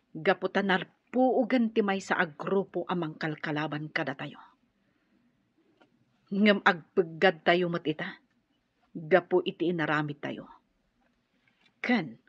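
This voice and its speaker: Husky low-voiced rebel big sister, stern warnings, radio drama, roomy dull audio. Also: female voice. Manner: earnest, admonishing. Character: older female rebel comrade, grim, advising younger woman. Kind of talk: Ilocano radio drama acting.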